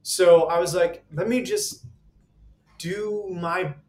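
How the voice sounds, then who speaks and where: A man's voice: high voice, steady tone, slow pace, a little mellow. A man in his twenties, a singer and guest, chatting casually with many fillers and laughs. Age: 20s. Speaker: guest performer. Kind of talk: casual interview chat.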